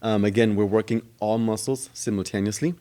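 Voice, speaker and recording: A man talks in a very low voice as a podcast guest. He explains how his gym works at length, pausing often with ums and uhs as he goes. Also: fairly even intonation, mellow. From Germany. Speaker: gym owner and trainer. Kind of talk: podcast interview answers.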